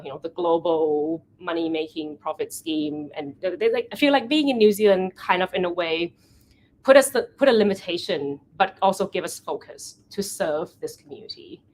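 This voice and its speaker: Animated woman telling a story. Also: on the low side, rough recording. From Thailand. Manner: animated storytelling, acting out quoted conversations; often corrects herself mid-sentence. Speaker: event founder giving a talk. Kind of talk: meetup presentation.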